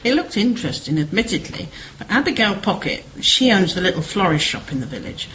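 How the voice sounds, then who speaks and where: A woman's voice: medium pitch, big pitch swings, a little fast, a bit bright, slight background noise, poor audio. A woman acting a chatty, concerned village sister in an amateur radio play, gossipy and persuasive.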